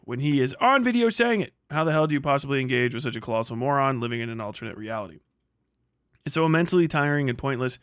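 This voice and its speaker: A man's voice: medium pitch, fairly bright tone, no room sound. Blunt man commenting on a livestream, dry sound. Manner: blunt, profane, rambling. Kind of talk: casual livestream commentary, reading and reacting to posts.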